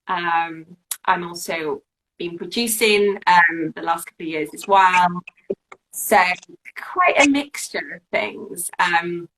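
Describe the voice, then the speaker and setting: A chatty woman from Wales, speaking as a guest on a live online interview. She talks at an ordinary pitch, full of ums and restarts. A faint background noise sits under the call audio. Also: sharp tone, rough recording. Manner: chatty, lots of fillers and restarts. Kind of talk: casual interview chat.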